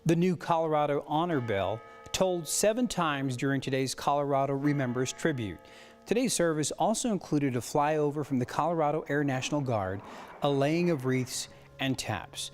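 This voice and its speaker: A man's voice: fairly high pitch, lively intonation, moderate pace, faint music behind. A man with an American accent reading scripted news stories as a television anchor, with some banter.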